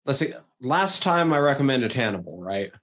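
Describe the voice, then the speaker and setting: A man's voice: medium pitch, very animated, moderate pace, a bit bright. A man hosting a podcast, making recommendations in a casual, emphatic way with enthusiastic swearing. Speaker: podcast host. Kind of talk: casual chat, giving recommendations.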